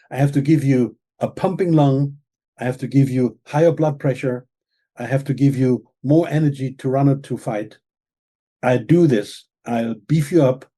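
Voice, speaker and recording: Guy lecturing earnestly, dark husky voice, keeps saying okay? right?. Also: medium pitch. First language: German. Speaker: author and guest expert. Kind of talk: explaining in an interview.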